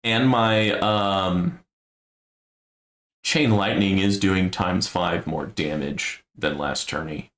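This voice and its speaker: A man giving casual gameplay commentary on a stream, thinking aloud in a low-key, musing way with a gravelly voice. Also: takes it slowly, fairly bright tone. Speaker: game streamer.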